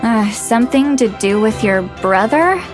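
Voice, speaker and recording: A woman playing a composed, knowing young woman in a video game, speaking in a measured, explanatory way. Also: a little high, lively intonation, music in the background. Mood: thoughtful. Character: composed, knowing young woman who confers with a spirit lord.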